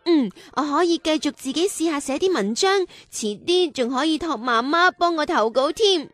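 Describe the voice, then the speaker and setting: A grateful young girl in a children's radio drama. Her voice is high, with a Hong Kong Cantonese accent, and music runs under it. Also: lively intonation, normal speed, a bit bright, no room sound. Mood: hopeful, grateful. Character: earnest, grateful, tired young girl who writes.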